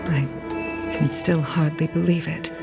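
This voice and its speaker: A solemn fox-like commander. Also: female voice, low-pitched, fairly even intonation, vocal fry. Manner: measured, formal, sorrowful. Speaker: commander of a fleet commission. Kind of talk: game cutscene voice acting. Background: game soundtrack music.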